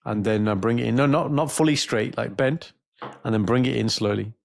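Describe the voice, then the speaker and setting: A man co-hosting a casual podcast, telling stories in an animated, jokey way. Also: medium pitch, fairly quick, gravelly, no room sound, clean studio sound. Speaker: podcast co-host.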